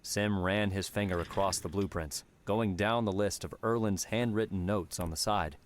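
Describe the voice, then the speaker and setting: A man with a low, deep voice narrates a story in the manner of an audiobook. The reading is scripted, and there is noise behind the voice. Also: fairly even intonation, moderate pace.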